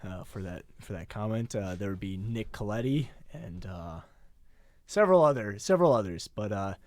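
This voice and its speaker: A man playing a boastful immigrant telling rambling drunken stories on a comedic college radio bit, halting with many "uh"s. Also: lowish voice, expressive, dry recording, pitched below the performer's own voice, natural voice. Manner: halting, rambling delivery full of "uh"s.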